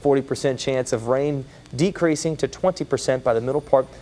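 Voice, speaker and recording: American guy doing the tv weather, medium pitch, explaining the forecast off the cuff. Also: a little fast, warm tone. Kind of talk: ad-libbed broadcast weather report.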